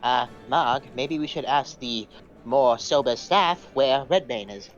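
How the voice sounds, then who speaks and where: A man's voice: medium pitch, a little slow, lightly acted. A man playing a mild, sensible small companion who speaks politely and tentatively in a tabletop game. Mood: mildly advising. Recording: dull lo-fi voice channel, music behind.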